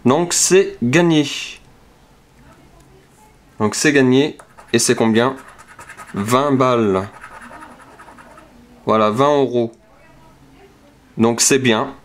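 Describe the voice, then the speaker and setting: Slow, methodical man. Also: mid-range voice. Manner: slow, methodical, talks through each number. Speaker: youtuber scratching lottery tickets. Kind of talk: casual narration while scratching cards. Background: scratching sounds.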